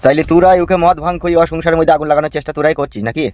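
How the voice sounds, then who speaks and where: Man acting an irate, high-voiced old village father. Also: fairly even intonation, racing, no echo, lightly acted. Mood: angry, accusing. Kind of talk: comic cartoon dialogue. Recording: dull lo-fi sound with music behind.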